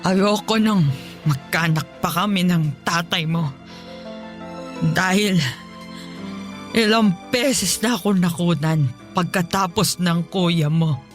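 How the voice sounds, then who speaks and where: Bitter invalid mother played by an actress, slow reproachful delivery, radio drama, music underneath. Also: fairly low pitch. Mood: bitter, anguished. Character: bitter, resentful partly paralysed mother, cruel to her child. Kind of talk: Tagalog radio drama acting. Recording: music bed behind the voice.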